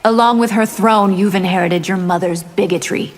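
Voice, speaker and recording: A woman's voice: medium pitch, fairly even intonation, normal speed, close to an everyday voice. A woman voicing a cold, scheming winged vampire warrior who taunts her foes with disdain in a fighting video game. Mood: cold taunting. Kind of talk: video game character dialogue. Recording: long reverb, loud music behind.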